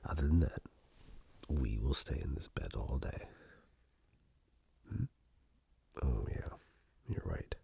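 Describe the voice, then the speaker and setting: A man in a soft, unhurried, breathy ASMR roleplay, half-whispering close to the microphone as a flirtatious, playful romantic partner. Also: very deep voice, lively intonation, no echo. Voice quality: breathy, gravelly.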